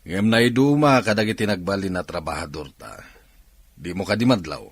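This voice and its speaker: A raspy, low-voiced middle-aged boss from a radio drama, acted by a man. He is observant and chatty, with a lively rise and fall as he gossips. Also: normal speed, no echo, heavily acted. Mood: chatty, curious.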